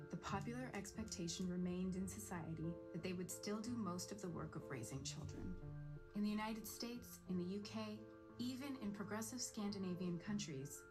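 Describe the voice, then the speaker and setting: A woman reads scripted documentary narration in a lowish voice. Music plays clearly underneath her.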